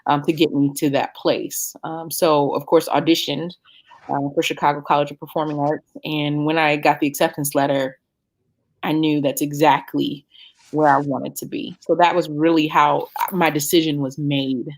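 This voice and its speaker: Woman in her 30s, low voice, chatting thoughtfully about her singing career, lots of ums. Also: little pitch movement, moderate pace. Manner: reflective, many "um" fillers and restarts. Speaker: opera singer, interview guest. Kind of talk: conversational interview answers.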